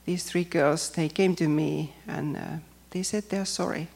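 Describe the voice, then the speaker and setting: Hesitant Finnish woman with a gravelly voice. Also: low voice, steady tone, darkish tone. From Finland. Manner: hesitant, careful non-native English with frequent pauses. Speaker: church member giving testimony. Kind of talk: personal testimony speech.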